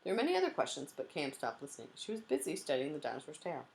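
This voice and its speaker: A woman's voice: sits low, huge pitch swings, rapid-fire, gravelly, slight background noise. A woman narrating a children's storybook aloud expressively, like a teacher reading to young pupils.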